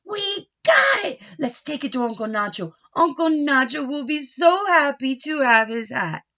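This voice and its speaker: A woman acting out eager, hot-headed young boys from a storybook, often shouting and accusing. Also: high-pitched, sing-song, a little slow, muffled recording, pitched above the performer's own voice, heavily acted. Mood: excited.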